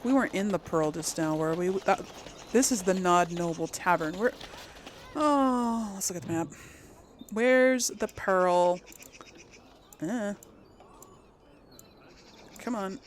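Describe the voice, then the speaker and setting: A woman's voice: mid-range voice, slow pace, pauses often, a little hiss or hum. A woman giving casual let's play commentary as host of a gaming video, chatty and thinking aloud as she goes.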